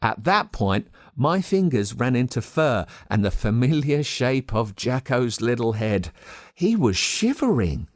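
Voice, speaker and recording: Animated Australian man narrating a children's story. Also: mid-range voice, measured pace, dry recording, clean studio sound. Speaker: audiobook narrator.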